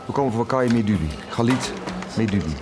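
A man's voice: medium pitch, expressive, brisk, music in the background, natural voice. An actor playing a protective, flustered middle-aged father in an audio drama. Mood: flustered, polite.

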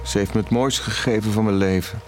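Middle-aged father, male actor, confessional and tender, audio drama; lowish pitch, expressive swings, faint music underneath. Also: natural voice. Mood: tender, confessing. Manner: halting, repeats words when emotional. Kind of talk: audio drama acting, intimate dialogue.

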